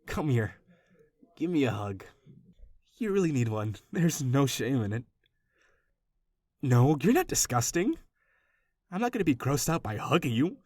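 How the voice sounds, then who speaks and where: Man playing a doting lovestruck kidnapper, mid-pitched, affectionate rambling delivery, obsessive, awkwardly gentle, audio roleplay, dry close recording. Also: big pitch swings, unhurried, long pauses, dry recording. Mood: tender, coaxing. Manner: gushing, rambling, affectionate, self-interrupting. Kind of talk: audio roleplay addressed directly to the listener.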